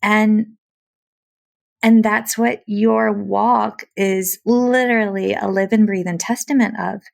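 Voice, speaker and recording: A woman's voice: mid-range voice, fairly even intonation, takes it slowly, creaky. A woman hosting a casual interview podcast, chatty and effusive, sharing her own anecdotes. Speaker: podcast host, speech-language pathologist.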